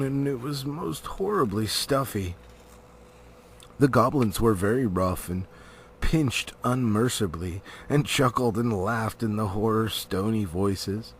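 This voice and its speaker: A man narrating a storybook as an amateur reader, theatrical, with drawn-out words and pauses. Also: lowish voice, slight background noise. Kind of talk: dramatic storybook reading.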